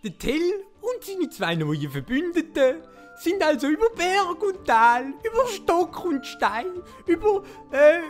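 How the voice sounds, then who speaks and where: A woman's voice: very high voice, sing-song, slow. A woman with a Swiss German accent narrating playfully, like a fairy tale told to children. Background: music.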